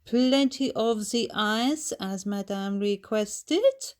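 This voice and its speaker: A woman's voice: fairly high pitch, slow pace, a little mellow, voice pushed up. A woman voicing an unsteady, put-upon maid, deferential but dour, speaking formally in a storybook.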